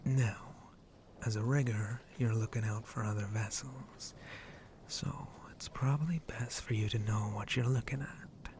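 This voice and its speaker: A man playing a flirty, roguish shark-monster pirate in an ASMR roleplay, breathy and often whispering, with a teasing, rustic pirate way of talking. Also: medium pitch, fairly even intonation, unhurried, noisy background, lightly acted. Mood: instructive, easygoing. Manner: rustic pirate dialect, hesitant drawl, teasing.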